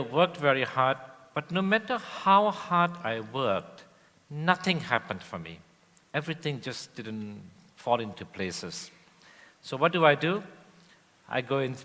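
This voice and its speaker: Bright-voiced man telling his story on stage. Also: medium pitch, moderate pace. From Indonesia. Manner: relaxed, anecdotal, with frequent fillers. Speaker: guest speaker. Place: large event hall on stage. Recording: long hall reverb.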